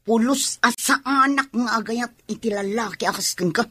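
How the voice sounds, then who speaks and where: Husky, scheming young tomboy, high-voiced. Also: female voice, moderate pace, bright, forceful tone, dry recording, studio quality. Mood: teasing. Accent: Ilocano. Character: scheming young tomboy woman, cheeky and blunt. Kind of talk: Ilocano radio drama acting.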